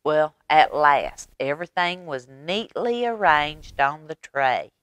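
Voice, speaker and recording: A woman with a Southern American accent reads a children's story very slowly in a low, smooth voice. She is folksy and expressive, taking her time and adding small asides for young listeners. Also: dry recording. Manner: expressive, folksy, unhurried, dramatic whispers and asides. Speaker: library story time host.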